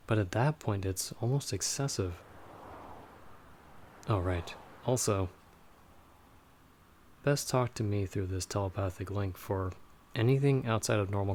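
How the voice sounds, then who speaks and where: A man plays a guarded vampire hunter for an audio roleplay, his voice on the low side. He talks to his companion in dry, measured, wry lines, protective underneath. There is faint noisy ambience behind him. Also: a little mellow, lightly acted. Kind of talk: ASMR audio roleplay, scripted monologue to listener.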